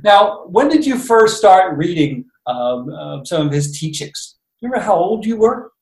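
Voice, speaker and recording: A man's voice: high-pitched, very animated, normal speed, darkish tone, echoey room, low-quality recording. A man hosting a casual talk show, easygoing and upbeat as he chats with his guest. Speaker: host.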